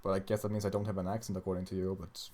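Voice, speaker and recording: A young man from Sweden with a slightly low voice chats loosely on a stream, answering questions in a blunt, sweary, worn-out way. His first language is Swedish, and he talks like someone hanging out with his audience. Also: little pitch movement, very fast, mellow. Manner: blunt, profane and tired. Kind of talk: casual livestream chat.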